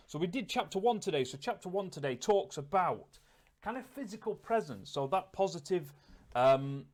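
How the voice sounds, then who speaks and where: A man's voice: mid-range voice, a little mellow. A man talking casually and reflectively in a personal vlog, rambling a little and repeating words as he thinks aloud. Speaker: vlogger. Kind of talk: casual vlog talk to camera, self-reflective.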